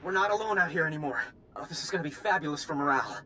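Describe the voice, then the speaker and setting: A man's voice: fairly high pitch, animated, rapid-fire, sharp tone. An actor playing an earnest, anxious male army lieutenant in a video game, urgent and sometimes faltering. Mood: relieved, elated. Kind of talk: scripted video game cutscene acting. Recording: long reverberant hall, faint background noise.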